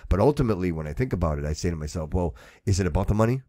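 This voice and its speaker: A man's voice: deep voice, expressive, racing, warm tone, dry recording, studio quality. A man hosting a podcast in casual, emphatic, slangy chat, often repeating himself for stress. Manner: slangy, repetitive, addressing listener as bro and dude. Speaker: podcast host.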